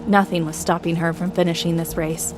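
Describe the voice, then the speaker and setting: A woman's voice: on the low side, little pitch movement, fairly quick. Woman narrating a dramatic story over music.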